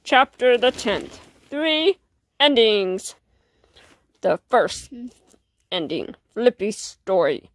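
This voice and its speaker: A woman reading a children's storybook aloud as an expressive narrator. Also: mid-range voice, very slow, pauses often. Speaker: storybook reader.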